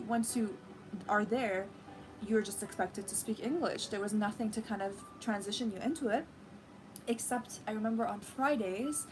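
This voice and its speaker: A young woman whose first language is Farsi talks casually to her livestream viewers in a fairly high voice. She speaks warmly and chattily, filling pauses with "um" and "like". A steady hiss sits under her voice from a close webcam mic in a living room. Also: poor audio. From Iran. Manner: chatty and sympathetic, with many fillers. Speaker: livestream host. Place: living room with a red sofa, indoors.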